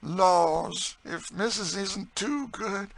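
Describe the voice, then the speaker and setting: A man's voice: high voice, extremely slow, gravelly, voice pushed up, full character voice. A man voicing an eager, motherly enslaved woman cook in an audiobook, with a folksy dialect and exclamatory delivery. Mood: delighted. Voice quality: folksy dialect speech with dropped sounds. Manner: exclamatory, emphatic. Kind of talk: audiobook character dialogue.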